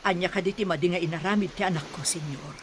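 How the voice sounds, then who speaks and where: A woman's voice: lowish voice, quick pace, a little hiss or hum, close to an everyday voice. A woman with a Filipino accent playing a humble, worried mother defending her son in a radio drama. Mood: pleading, indignant.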